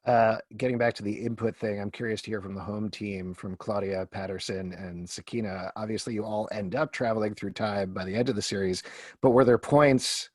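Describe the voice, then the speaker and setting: A man's voice: lowish voice, fairly even intonation, fairly quick, no room sound. A man moderating a panel interview, putting questions to guests and reading out submitted ones.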